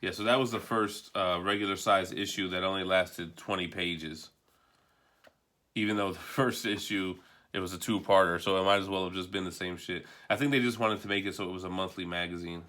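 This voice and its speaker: Bright-voiced man, offhand and casual. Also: deep voice, fairly even intonation, fairly quick. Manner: offhand, rambling, mildly profane. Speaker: comic book collector reviewing a book. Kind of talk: casual unscripted video review.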